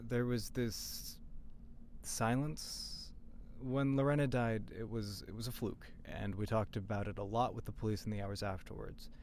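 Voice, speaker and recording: A man playing a somber character in an audio drama monologue, halting and deliberate. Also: on the low side, steady tone, a little mellow, slight background noise, lightly acted. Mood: somber, hesitant.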